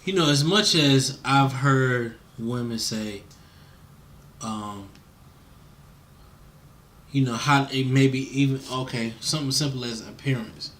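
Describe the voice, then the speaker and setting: A man's voice: mid-range voice, takes it slowly, long pauses, fairly bright tone, a little hiss or hum. An American man interviewing in a casual, laid-back conversational way, slangy and checking in with the listener.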